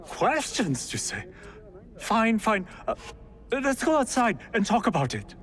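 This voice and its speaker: An actor playing a panicky, hapless husband in a video game drama, flustered, whiny and stammering. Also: male voice, high voice, very animated, measured pace, fairly bright tone, exaggerated voice. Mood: panicked, defensive. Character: panicky, cowardly, hapless husband fleeing trouble. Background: background music.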